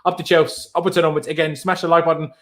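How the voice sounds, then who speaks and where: A young man with a German accent, a native German speaker, hosting a casual football live stream and answering viewer questions in a chatty, animated way. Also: fairly high pitch, very fast. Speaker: football channel host.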